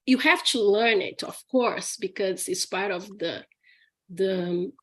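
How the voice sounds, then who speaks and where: Brazilian woman teaching English, unhurried. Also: mid-range voice, fairly bright tone. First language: Portuguese. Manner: chatty, laughs often, many restarts and "okay?" tags. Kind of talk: live Q&A session.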